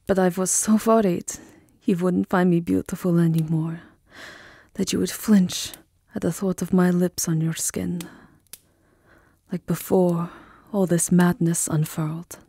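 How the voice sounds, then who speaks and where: Woman acting a slow, breathy, tender vampire countess. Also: on the low side, level tone, no room sound. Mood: relieved, loving. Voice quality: soft, breathy, often dropping into a whisper. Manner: slow, tender, wistful, formal endearments. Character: tender, lovesick vampire countess in her gothic castle. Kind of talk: ASMR roleplay, soft intimate romantic acting. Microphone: close microphone, dry. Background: music.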